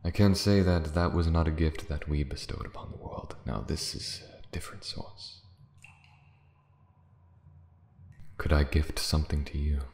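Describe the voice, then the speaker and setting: Man voicing a very deep, creaky, wistful dragon. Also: darkish tone, roomy sound. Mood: musing, proud. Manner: musing, formal, slow dramatic pauses, dropping to whispers. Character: lonely, proud male dragon, last survivor, wistful. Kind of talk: ASMR fantasy roleplay.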